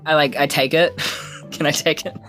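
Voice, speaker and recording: Kiwi woman, very deep voice, playful rambling tabletop player, casual improv over a light music bed. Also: steady tone, normal speed, fairly bright tone, rough recording. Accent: New Zealand. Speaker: player in a tabletop role-playing podcast. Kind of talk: casual improvised role-play chat.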